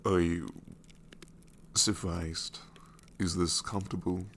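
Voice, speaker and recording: A man's voice: low voice, level tone, slow pace, gravelly, fairly bright tone, a little hiss or hum, full character voice. A man voicing a sassy, exasperated ancient male shadow demon in an ASMR roleplay, sarcastic and incredulous. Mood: reluctant soft.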